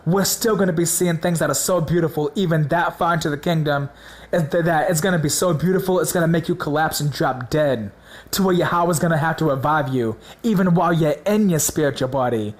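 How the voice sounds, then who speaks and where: A man preaching in a casual, slangy way, hoarse from a sore throat, excited and repeating words for emphasis. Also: on the high side, a little fast. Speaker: religious video host.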